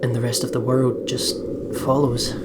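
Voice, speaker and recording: A man's voice: medium pitch, monotone, normal speed. A man hosting a let's play, chatting casually and reading visual novel narration aloud. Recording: slightly noisy home recording.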